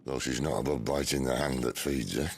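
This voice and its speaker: Very deep, gravelly British rogue. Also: male voice, steady tone, fairly quick, a little hiss or hum. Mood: sardonic, probing. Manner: sardonic, dry, conversational. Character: wry middle-aged male rebel leader, roguish and unflappable. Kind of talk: video game cutscene acting.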